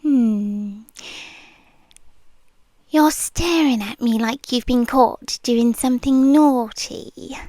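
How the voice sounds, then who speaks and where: A sweetly controlling young nurse, voiced by a woman with a British accent murmurs and whispers right against the mic. Her voice sits high and light, and she drags every word out with syrupy slowness. The sound is dry and close, with no room around it. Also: warm tone, dry recording. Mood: teasing. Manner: slow, intimate, teasing purr with frequent whispers. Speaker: ASMR roleplay creator. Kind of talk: ASMR roleplay, soft-spoken and whispered.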